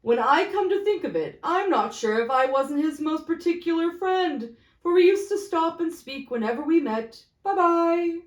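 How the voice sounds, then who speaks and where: A big fat gentleman of business as a woman reader puts him on in a storybook. He is flippant and callous, speaking breezily and loudly at a lifted pitch in an echoey room. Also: expressive, normal speed, hardly any pauses. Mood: breezy. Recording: echoey, roomy sound.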